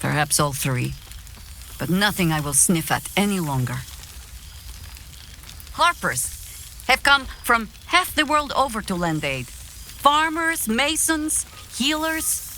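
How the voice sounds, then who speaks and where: A slow, wry, seasoned heroine. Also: female voice, medium pitch, big pitch swings, pushed and bright, slight background noise, full character voice. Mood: dryly fond. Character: seasoned wry woman adventurer with grown children, gruffly fond. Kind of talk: video game dialogue acting.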